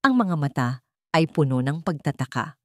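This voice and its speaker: A woman with a low voice narrates a dramatic story in an emotive, measured way. Her intonation swings widely and expressively, and the recording is dry and close, with little room sound. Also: sing-song, darkish tone, dry recording.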